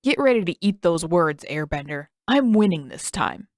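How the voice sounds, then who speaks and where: A woman's voice: fairly low pitch, animated, moderate pace, no room sound, studio quality. A woman voicing a cocky, competitive teenage boy in a storybook, boastful and griping. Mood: indignant, boastful.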